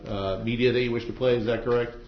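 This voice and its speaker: A male judge talks briskly through procedure in a colloquial, plain-spoken way. He explains step by step to jurors and attorneys. The sound is roomy and somewhat dull, as from a courtroom recording. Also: medium pitch, fairly even intonation, a little hiss or hum. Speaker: judge presiding over a trial. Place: indoor courtroom.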